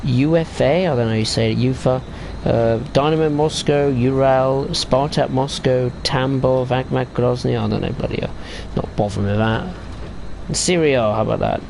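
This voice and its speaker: A man's voice: mid-range voice, moderate pace, creaky, noisy background. A man casually chatting and rambling while streaming a train simulator game. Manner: chatty, rambling about football tables while driving. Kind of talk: casual gameplay commentary.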